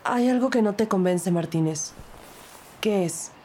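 A woman playing a sharp, sceptical police officer who narrates her case in a crime audio drama, direct and probing. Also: mid-range voice, measured pace, a little hiss or hum, natural voice. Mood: matter-of-fact.

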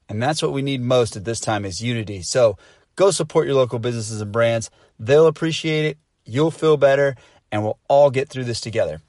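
A young male podcast host talks in a casual, eager way. He repeats his points and says "like" a lot. He is recorded on a close mic with a dry sound and no room echo. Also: medium pitch. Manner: enthusiastic, repeats himself, says "like" often.

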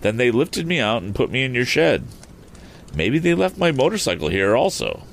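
A man's voice: mid-range voice, very animated, normal speed, vocal fry, slight background noise, pitched above the performer's own voice. A man voicing an earnest, polite and direct young fellow in a storybook reading. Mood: earnest, determined. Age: young adult. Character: earnest, plucky young fellow, robbed and stranded.

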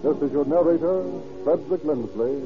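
A man's voice: a little high, brisk, over loud music. A man announcing and narrating in a formal, declamatory 1930s radio style. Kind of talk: 1930s radio announcing, scripted sponsor pitch. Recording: dull, muffled old radio recording.

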